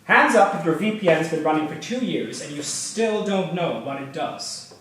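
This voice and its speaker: A man's voice: a little high, lively intonation, normal speed, fairly bright tone. A man playing a pompous tech founder, echoey room. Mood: smug, breezy. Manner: pompous, addresses the audience. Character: smug tech founder, self-styled visionary man. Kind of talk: staged reading monologue. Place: live performance in a piano shop. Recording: roomy echo, distant mic, faint noise.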